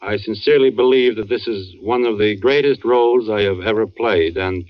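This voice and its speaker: An actor playing an earnest, somber man, a knowing family friend, with measured dramatic delivery in 1940s radio style. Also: fairly low pitch, lightly acted. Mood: gentle, hesitant. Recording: muffled old radio recording.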